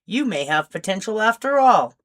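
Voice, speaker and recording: A woman's voice: medium pitch, sing-song, normal speed, voice pushed up, natural voice. A woman voicing a stern, grumpy older male agent with stiff, formal speech in a story reading. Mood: grudging approval.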